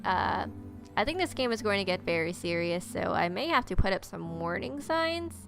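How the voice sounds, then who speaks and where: Brief: young woman gamer, gravelly fry, casual chatty commentary. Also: medium pitch, very animated, normal speed, over music. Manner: chatty, reads aloud and reacts with playful asides. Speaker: gaming streamer. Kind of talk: casual let's-play commentary.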